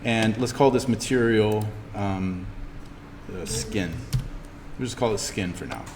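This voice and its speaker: A man teaching an informal in-class software tutorial, thinking aloud haltingly as he demonstrates. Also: fairly low pitch. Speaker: instructor teaching 3D animation software. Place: classroom, indoors. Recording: echoey room with background noise.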